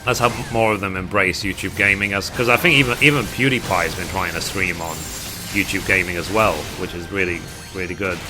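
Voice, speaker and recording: A man's voice: low voice, steady tone, brisk, poor audio. A man with a British accent chatting casually and at rambling length with his live stream viewers as a gaming streamer. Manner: rambling, reading chat aloud and replying. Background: music.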